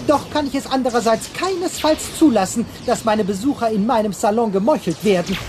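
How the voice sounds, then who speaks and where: A woman's voice: fairly high pitch, brisk, a little mellow, faint music behind, lightly acted. A woman voicing a genderless mutant, ceremonious and over-polite with flowery formal diction, in a sci-fi radio play. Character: genderless three-eyed mutant, courtly and ceremonious host. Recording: clear background noise.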